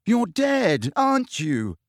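Man voicing an urgent teenage wizard boy, raised pitch. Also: very animated, mellow, husky, no echo, studio quality, heavily acted. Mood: exasperated, pleading. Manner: insistent, rapid-fire pleading questions. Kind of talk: audiobook character acting.

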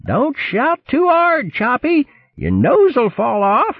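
A man's voice: fairly high pitch, big pitch swings, takes it slowly, no room sound, voice pushed up, heavily acted. A man voicing mocking vermin pirate crewmen who cackle and taunt, on a tinny lo-fi recording. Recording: tinny lo-fi line.

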